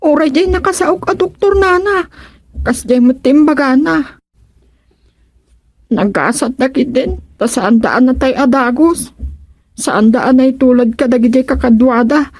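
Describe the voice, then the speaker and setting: A woman's voice: high-pitched, a little slow, pauses often, a little mellow, dry recording. A woman playing a concerned, dutiful young village daughter in an Ilocano radio drama, with an Ilocano Filipino accent.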